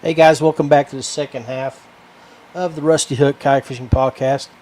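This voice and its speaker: A man hosting a podcast alone in casual, rambling chat, often halting and repeating words. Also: medium pitch, steady tone, darkish tone. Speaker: podcast host. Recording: clear background noise.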